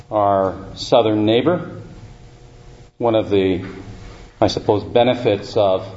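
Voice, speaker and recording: A man hosting an evening university event gives a formal, halting introduction full of "uh" and "um". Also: on the low side, a little mellow, slight background noise. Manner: halting, with many uh and um fillers. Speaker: event host introducing a speaker. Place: university lecture room. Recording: roomy, dull lecture-hall recording.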